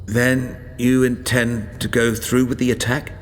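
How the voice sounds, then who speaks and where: A man's voice: medium pitch, level tone, a little slow, a little hiss or hum, pitched above the performer's own voice, natural voice. Man playing a deferential soldier in a reverberant hall. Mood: wary deference. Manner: formal, deferential. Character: dutiful, hesitant male soldier serving his lord. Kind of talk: dramatic audiobook character acting.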